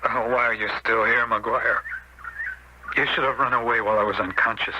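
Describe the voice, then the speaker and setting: A man's voice: medium pitch, steady tone, normal speed, muffled recording, background noise, lightly acted. An actor playing a grave, bitter man stricken with guilt over his brother in a radio drama.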